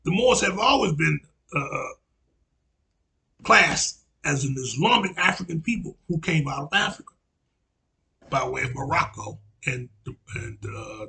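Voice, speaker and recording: A raspy man in his forties delivers a meandering religious lecture with a gravelly, creaky edge and a sharp, bright tone. He repeats his points and leans heavily on fillers. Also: high voice, poor audio. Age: 40s. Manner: repetitive, frequent "you know" fillers, lecturing. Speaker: religious teacher. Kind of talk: rambling religious monologue.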